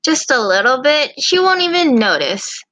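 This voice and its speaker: A woman's voice: high voice, very animated, normal speed, pushed and bright, no room sound, heavily acted. A woman voicing a plucky, mischievous young student huntress with a breezy, slangy, teasing manner in an amateur visual novel. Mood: playful, scheming.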